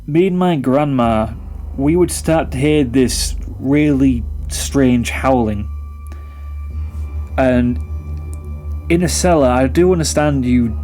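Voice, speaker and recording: A man's voice: medium pitch, darkish tone. A young adult man recounts a personal ghost story in a conversational, reflective and slightly rambling way. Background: background music.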